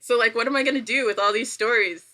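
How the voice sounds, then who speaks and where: A woman chatting casually as a podcast guest, telling self-deprecating stories and acting out her own thoughts in an animated way. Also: fairly high pitch, quick pace. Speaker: podcast guest, writer and creator. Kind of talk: casual chat, anecdotal storytelling.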